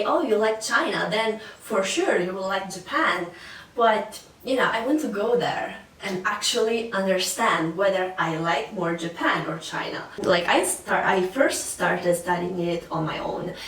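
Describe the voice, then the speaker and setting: A young woman from Sicily with an Italian accent, chatting casually to camera about learning languages, with many fillers and restarts. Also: mid-range voice. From Sicily, Italy. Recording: echoey room, voice a bit far from mic.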